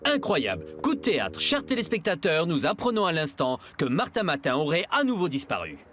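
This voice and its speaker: A man's voice: fairly high pitch, huge pitch swings, brisk, fairly bright tone, slight background noise. A man voicing a melodramatic, pompous television news presenter in a French cartoon. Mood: excited.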